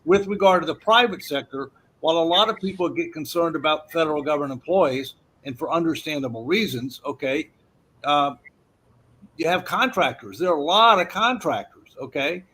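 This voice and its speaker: A man's voice: a little high, animated, moderate pace, pushed and bright, slightly rough, low-quality recording. A man hosting an economics forum, explaining policy effects with frequent hesitations and "okay" checks.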